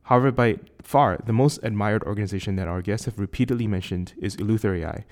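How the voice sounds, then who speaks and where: A man with a Singaporean accent hosting a tech podcast, reading a measured, articulate prepared introduction. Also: darkish tone. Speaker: podcast host. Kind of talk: scripted intro then casual interview. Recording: lower quality recording outside a studio.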